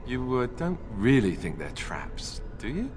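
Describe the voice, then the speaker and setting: An actor playing a boyish, wry and unsure young warrior in a video game, with a flippant, hesitant manner. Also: male voice, medium pitch, huge pitch swings, unhurried. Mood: nervous, joking. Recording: reverberant hall, faint background noise.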